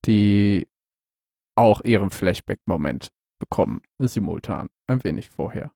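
Man, cold, husky, gravelly, lively pitch, short interjections. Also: unhurried, no room sound, studio quality. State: has a cold. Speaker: podcast co-host. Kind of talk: casual TV-series recap chat.

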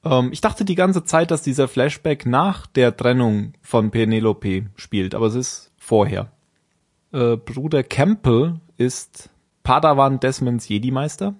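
A man's voice: medium pitch, measured pace, darkish tone. A man casually recapping a TV episode on a podcast, rambling and correcting himself as he goes. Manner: rambling, self-correcting, with frequent fillers and laughs. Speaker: podcast co-host.

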